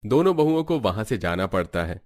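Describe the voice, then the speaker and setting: Male narrator for a cartoon family story, lower register, light music underneath. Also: big pitch swings, fairly quick, darkish tone. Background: background music.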